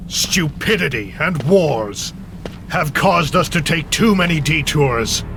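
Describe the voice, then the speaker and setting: An actor voicing a coldly calculating artificial intelligence in a video game, measured, solemn and condescending. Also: male voice, fairly high pitch. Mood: grandiose. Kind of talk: dramatic video game cutscene acting. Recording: loud music under the voice.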